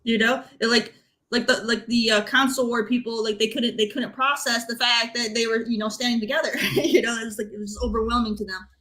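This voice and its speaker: Higher-voiced woman chatting on a podcast. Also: fairly even intonation, a little fast, sharp tone. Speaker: podcast panelist. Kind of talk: casual chat.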